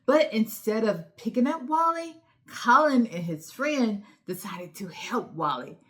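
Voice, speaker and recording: A woman hosting a children's storytime lesson, encouraging and instructive, speaking directly to young children with emphatic, deliberate enunciation. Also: fairly high pitch, lively intonation, fairly bright tone. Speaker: children's read-aloud host and teacher.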